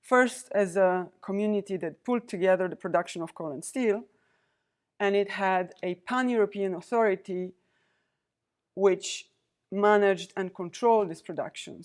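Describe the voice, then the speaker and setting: A woman in her forties from Poland, speaking, giving a prepared university lecture as a visiting diplomat, with a measured, deliberate delivery and pauses between listed points. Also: mid-range voice, a little mellow. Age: 40s.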